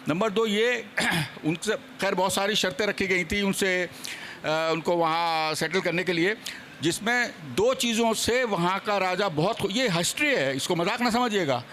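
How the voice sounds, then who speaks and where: A man's voice: sits high, unhurried, bright, forceful tone, slight background noise. A man speaking as a seminar panelist, explaining history in an insistent, point-by-point way. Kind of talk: animated explanatory panel talk on theatre history. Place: seminar stage at a live event.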